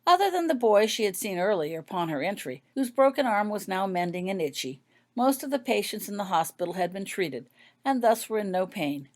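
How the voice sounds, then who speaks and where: A woman's voice: mid-range voice, animated, brisk. A woman reading her own fiction aloud as scripted story narration.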